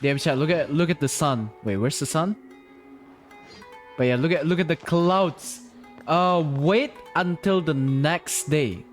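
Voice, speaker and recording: A man livestreaming a story game, reacting casually out loud, blurting surprise and swearing. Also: on the high side, unhurried. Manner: blurts surprise, swears, sings nonsense to himself. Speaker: livestreamer playing a story quest. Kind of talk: casual live reaction commentary. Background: game music.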